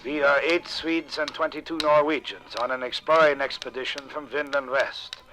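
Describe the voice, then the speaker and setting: Solemn, bright-voiced Norse explorer. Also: male voice, fairly high pitch, steady tone, normal speed, soft background music, low-quality recording. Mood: solemn, grave. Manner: solemn, declamatory recitation. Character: solemn medieval Norse explorer reciting a grim account. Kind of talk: 1950s radio drama acting.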